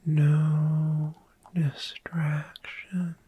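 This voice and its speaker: A performer voicing a friendly, gentle dragon in a soft-spoken ASMR roleplay, breathy and mostly whispered, soothing and lingering over words. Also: medium pitch, little pitch movement, no echo, exaggerated voice. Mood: sleepy, tender. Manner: slow, soothing, lingering repetition. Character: friendly, gentle dragon host of a sleepy hoard.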